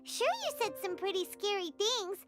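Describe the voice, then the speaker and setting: A woman voicing a small, excitable, childlike fairy companion in a video game, cheeky and prone to exclaiming. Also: normal speed, loud music behind the voice. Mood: scolding, cheery. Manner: squeaky, exclamatory, refers to self in third person.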